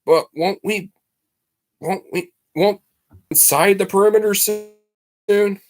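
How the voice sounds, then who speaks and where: An anxious schoolboy spy from a storybook, acted out by a man. The voice lifts higher, frightened and full of doubting questions. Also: slow. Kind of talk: storybook character voice.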